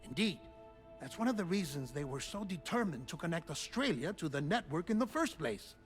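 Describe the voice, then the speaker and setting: A man's voice: fairly high pitch, sing-song, soft background music. An actor playing an eccentric, affable male researcher telling a story in a theatrical, conversational way for a video game cutscene. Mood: expository, intrigued. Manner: theatrical, conversational storytelling with chuckles and dramatic pauses. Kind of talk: video game cutscene monologue, explanatory narration.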